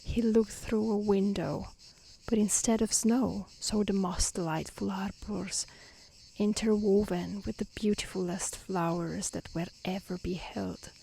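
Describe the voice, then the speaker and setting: A woman reading a storybook aloud in a soft, breathy, half-whispered ASMR style, gently and carefully. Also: medium pitch, fairly even intonation, a little slow, rough recording. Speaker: narrator reading a fairy tale. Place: outdoors in nature. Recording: faint outdoor insect noise. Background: crickets and insect ambience.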